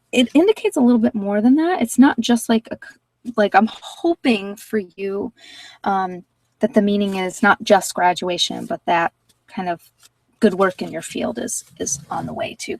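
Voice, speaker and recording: A woman's voice: medium pitch, creaky, dry recording, recorded from a distance, low-quality recording. A woman giving casual live tarot readings to viewers, talking informally and thinking aloud as she goes. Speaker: tarot reader and channel host.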